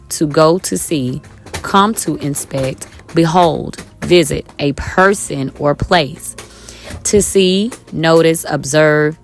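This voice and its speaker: A woman with a raspy, slightly hoarse voice, teaching scripture to her viewers from home in a casual way, calling them y'all with many ums. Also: low voice. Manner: talks directly to viewers as y'all, with many um fillers. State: a little hoarse. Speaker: online Bible teacher. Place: at home with her children around.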